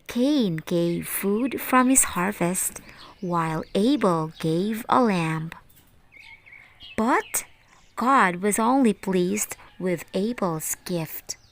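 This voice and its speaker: A woman teacher reading a Bible story aloud for children, addressing them directly in deliberate phrases. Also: mid-range voice, lively intonation, slight background noise.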